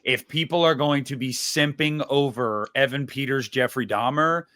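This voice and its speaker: Male panelist, average pitch, blunt and opinionated, conversational with punchy emphasis. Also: normal speed, bright, forceful tone. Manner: emphatic, blunt, acts out imagined quotes. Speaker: podcast panelist. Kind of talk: casual opinionated debate.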